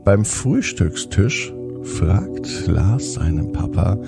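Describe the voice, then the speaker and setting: A man with a husky, gravelly voice gently narrating a children's bedtime story in a soothing manner. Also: low voice, big pitch swings, drawn-out words, loud music behind the voice.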